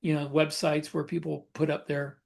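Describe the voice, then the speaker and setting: A man co-hosting a podcast, telling personal anecdotes in a casual, rambling, halting way with self-corrections. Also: a little high, flat delivery, creaky. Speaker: podcast co-host.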